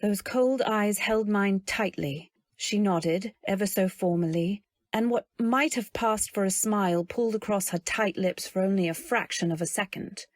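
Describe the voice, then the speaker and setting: A woman playing a dreamy, naive young newlywed, narrating in measured phrases in an old-time radio drama style. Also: mid-range voice, no echo, clean studio sound, lightly acted. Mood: uneasy, polite. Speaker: narrator and lead. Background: faint music.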